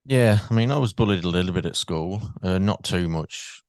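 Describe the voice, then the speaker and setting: A man's voice: deep voice, moderate pace, darkish tone, dry recording, studio quality. A man from England with an English accent, hosting a casual interview and telling stories in an easygoing, rambling way. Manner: easygoing, rambling, repeats words. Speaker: podcast host.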